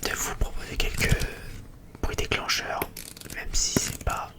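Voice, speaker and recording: A man whispering breathily in a casual, unscripted ASMR chat, rambling and hesitating as he talks. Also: a little slow. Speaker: ASMR video creator.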